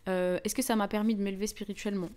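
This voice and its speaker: A woman's voice: medium pitch, fairly even intonation, very fast, darkish tone. A young woman with a Parisian French accent chats informally and slangily with viewers as host of a live stream. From France (Paris). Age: young adult. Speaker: livestream host. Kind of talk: casual live chat with viewers.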